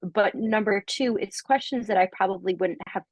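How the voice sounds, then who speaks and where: A young woman talks through her coaching experience on what sounds like a video call. She speaks in halting bursts with plenty of fillers and restarts and laughs now and then. Her voice sits in a middle range, and the recording sounds dry and close. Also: mid-range voice, dry recording. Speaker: coaching client giving a testimonial. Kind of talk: casual reflective conversation.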